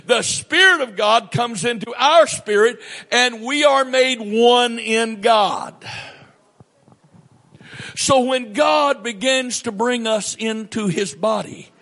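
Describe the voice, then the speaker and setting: Slow, high-voiced male preacher. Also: a bit bright. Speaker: pastor. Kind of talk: sermon with scripture reading.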